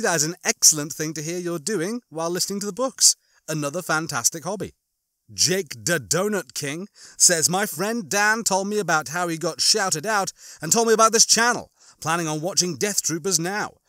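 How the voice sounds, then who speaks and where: A man's voice: high voice, animated, normal speed, pushed and bright, dry recording, clean studio sound. A man hosting an audiobook channel, chatting to his listeners and reading out comments with upbeat, swooping emphasis.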